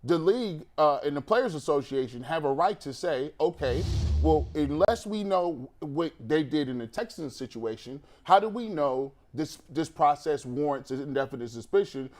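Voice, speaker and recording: A man's voice: on the high side, expressive, normal speed, darkish tone. A man on a sports talk panel who repeats phrases for emphasis and acts out imagined quotes as he explains.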